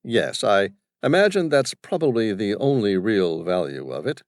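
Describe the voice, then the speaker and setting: A man's voice: fairly low pitch, sing-song, normal speed, dry recording, clean studio sound. Man doing a posh British aristocrat. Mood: courteous. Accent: upper-class British. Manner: clipped, polite, superior. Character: older upper-class British gentleman, aloof.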